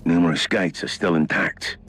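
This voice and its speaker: A man playing a composed, knowing Inquisitor in a video game, speaking in a measured, dryly wry and articulate way. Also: low-pitched, fairly bright tone. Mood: grave, explanatory. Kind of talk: dramatic video game dialogue. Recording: music behind the voice.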